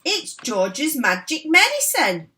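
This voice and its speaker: A woman doing a shrill cackling old granny. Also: level tone, normal speed. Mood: gleeful. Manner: shrill, shouting, boastful. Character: cackling old grandma stuck through a roof.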